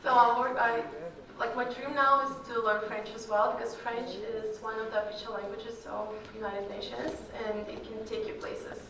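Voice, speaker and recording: A woman's voice: fairly high pitch, flat delivery, moderate pace, few pauses, crowd noise. A young woman whose first language is Russian, answering student questions casually with many fillers. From Kyrgyzstan. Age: young adult. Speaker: alumni guest speaker. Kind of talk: Q&A talk to students. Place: large echoey hall. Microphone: far from the mic. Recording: roomy hall audio from far off.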